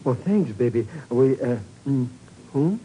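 Man playing an excitable, swooping comic sidekick. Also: mid-range voice, measured pace, lightly acted. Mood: flustered. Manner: blustering, stammering, slangy wisecracker. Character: brash, slow-witted, excitable comic sidekick. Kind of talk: 1950s radio comedy-drama acting. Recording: faintly noisy old radio recording.

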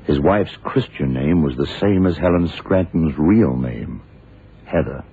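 An actor playing a shrewd, dogged FBI investigator in a radio crime drama, terse and deliberate. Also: male voice, rumbling low, expressive, gravelly, slightly rough, heavily acted. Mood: brisk, sure. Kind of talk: 1960s radio drama acting. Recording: muffled, noisy old radio broadcast recording.

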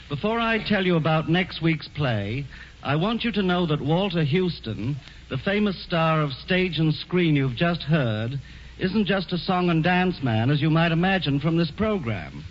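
A man hosting a radio drama and speaking in a formal, courtly manner. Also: a little high. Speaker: radio host. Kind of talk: scripted radio presentation. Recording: dull lo-fi 1940s radio broadcast with hiss.

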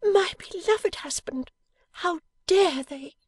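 A man voicing a devoted young wife in an audiobook, tender, earnest and fiercely loyal, with breathy emotion. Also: very high voice, expressive, a little slow, no room sound, studio quality, pitched above the performer's own voice, full character voice. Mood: indignant.

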